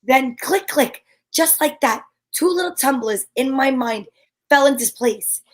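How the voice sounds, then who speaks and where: A woman's voice: high voice, lively intonation, a little slow. A woman playing a wry, wisecracking female private eye in an old-time radio detective drama. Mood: wry narrating. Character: wry, breezy woman private eye narrating her case.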